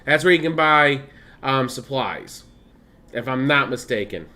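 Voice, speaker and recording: A man's voice: mid-range voice, expressive, unhurried, pushed and bright. A man in his thirties streaming a game, chatting casually with a mix of Midwestern, Southern and Californian accent, laughing often and reading on-screen text aloud. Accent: blended Midwestern, Southern and Californian. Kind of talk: casual gameplay stream commentary.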